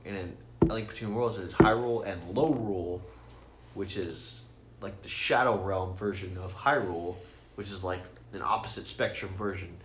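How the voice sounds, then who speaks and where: Low-voiced geeky man in an echoey room. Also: normal speed. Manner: geeky, sweary, rambling explanations. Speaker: podcast co-host. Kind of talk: casual, enthusiastic explainer chat. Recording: roomy echo with faint noise.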